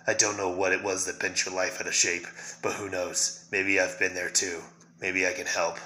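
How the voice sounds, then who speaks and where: A man's voice: deep voice, level tone, moderate pace, bright, forceful tone, low-quality recording. A man voicing a grim, weary masked vigilante in a stern, restrained way, as a fan reading of a comic. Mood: grave, pleading.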